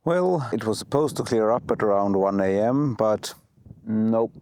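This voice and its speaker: A man with a cold and a sore throat, vlogging outdoors at night and thinking aloud with pauses and restarts. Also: fairly low pitch, expressive, a little mellow, dry recording, clean studio sound. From Sweden. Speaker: photography vlogger. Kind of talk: casual vlog narration.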